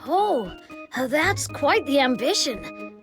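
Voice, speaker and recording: A woman's voice: sits high, sing-song, slow, heavily acted. A woman voicing a cheerful, well-traveled little fantasy creature in a video game, chatty and exclamatory. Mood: chatty, knowing. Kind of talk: video game character acting. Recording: music behind the voice.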